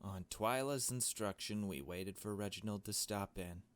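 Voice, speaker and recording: A man's voice: fairly low pitch, moderate pace. A man doing a let's play, reading a visual novel aloud and adding casual, blunt asides. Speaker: gaming video host.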